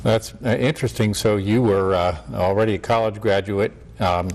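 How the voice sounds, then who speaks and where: Lowish-voiced American male interviewer. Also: steady tone, moderate pace, darkish tone. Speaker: talk show host, college president. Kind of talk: measured interview hosting.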